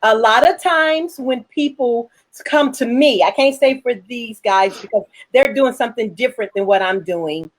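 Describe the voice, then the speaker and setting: Frank woman with a higher-pitched voice. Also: normal speed, hardly any pauses. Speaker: host, independent filmmaker. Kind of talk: panel chat, answering viewer questions.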